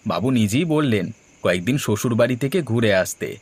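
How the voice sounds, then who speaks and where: A man's voice: fairly low pitch, expressive, quick pace, a little mellow, breathy edge. A man voicing a humble working husband in a fairy-tale cartoon, polite, coaxing and eager to please. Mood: pleased, coaxing.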